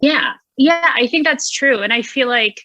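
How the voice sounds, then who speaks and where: A woman's voice: high voice, animated, a little slow, bright, forceful tone, no room sound. Chatty young woman, enthusiastic, many fillers. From Indonesia. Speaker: guest, music writer. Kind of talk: casual podcast interview.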